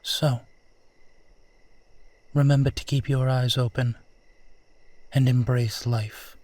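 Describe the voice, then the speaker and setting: A courtly immortal vampire, played by a man, speaks very slowly in a mid-pitched voice. He is gentle and wistful, with formal, tender phrasing made for an intimate audio roleplay. The sound is close and dry. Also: level tone, no echo, lightly acted. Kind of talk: intimate scripted roleplay monologue addressed to listener.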